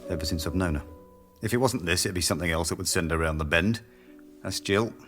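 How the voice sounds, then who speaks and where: An actor playing a domineering, sardonic British research boss in middle age, in a radio drama. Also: male voice, deep voice, quick pace, fairly bright tone, faint music behind. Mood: scornful. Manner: sardonic, brusque, mocking. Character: domineering, sneering male boss of a research team. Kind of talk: naturalistic radio drama acting.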